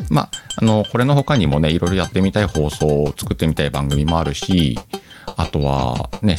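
A man hosting a casual solo chat show, rambling and self-deprecating, often laughing at himself, with music behind him. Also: rumbling low. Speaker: host of an audio show. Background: background music.

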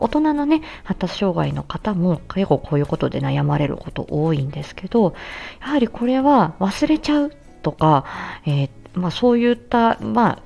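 A woman talks on her own in a casual, explanatory way. Her voice is fairly low and mellow, with very lively swings in pitch. She speaks emphatically, as if checking that the listener follows, on a slightly muffled recording. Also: gravelly. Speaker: speech therapist, audio show host. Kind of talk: casual explanatory solo talk.

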